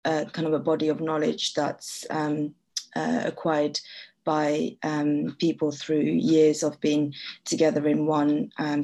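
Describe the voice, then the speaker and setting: Woman, from France, low voice, monotone, hesitant, many ums. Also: gravelly. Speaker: occupational therapist, guest. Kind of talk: podcast guest conversation.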